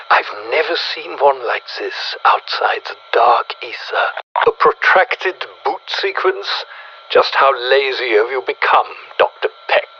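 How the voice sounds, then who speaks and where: A video game scientist with a husky voice, heard over a dull radio link. He is formal and pedantic, fascinated by oddities and openly condescending. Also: mid-range voice, heavily acted. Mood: dry disdain. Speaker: scientist giving mission briefings. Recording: dull, muffled radio transmission.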